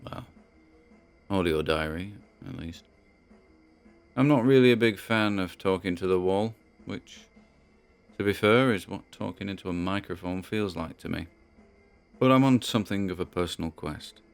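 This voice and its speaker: A British man playing a troubled grandson who records a halting, confiding audio diary in an audio drama. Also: sits low, vocal fry, clear, resonant tone, faint music behind. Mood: hesitant, determined.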